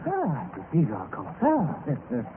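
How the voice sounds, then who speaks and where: A man with a British accent playing a ruined young gentleman, flamboyant, courteous and gaily fatalistic, in old-time radio drama style. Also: on the high side, huge pitch swings, slow, exaggerated voice. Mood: jaunty. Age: young adult. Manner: florid, courteous. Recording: tinny, hissy old radio recording.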